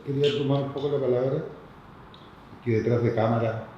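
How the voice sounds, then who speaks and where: A man's voice: mid-range voice, fairly even intonation, a little fast. A man making spontaneous remarks as a participant in a public discussion. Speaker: participant speaking from behind the camera. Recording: very echoey, dull sound, faint noise.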